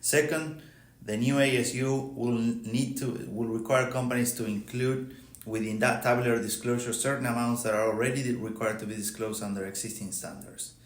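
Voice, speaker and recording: A man's voice: medium pitch, steady tone, normal speed. A middle-aged man with an Argentine accent, speaking as an expert podcast guest in a formal, methodical way. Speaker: podcast guest, accounting firm partner. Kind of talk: prepared technical explanation. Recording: roomy echo, faint background noise.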